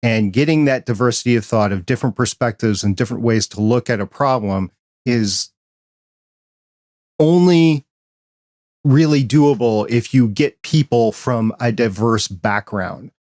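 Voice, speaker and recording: A man's voice: mid-range voice, a little slow, a little mellow, no echo. Earnest Midwestern man, dry sound. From American Midwest. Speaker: podcast host. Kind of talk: casual interview chat.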